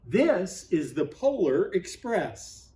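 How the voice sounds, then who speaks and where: A man's voice: high voice, big pitch swings, takes it slowly, warm tone, heavily acted. A hearty train conductor from a children's storybook, acted out by a man reading aloud. He projects with commanding, inviting emphasis in a slightly raised male register. The mic is a little distant.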